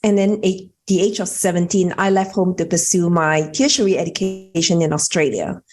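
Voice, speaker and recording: A woman's voice: on the low side, moderate pace, a little mellow. A woman from Malaysia, a networking coach, telling her story in a chatty way with frequent fillers. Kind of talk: conversational storytelling.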